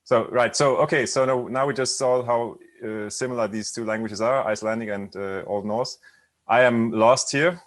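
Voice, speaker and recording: A man's voice: mid-range voice, steady tone, normal speed. A man on a casual video call, hesitant and repeating words while he searches for meaning.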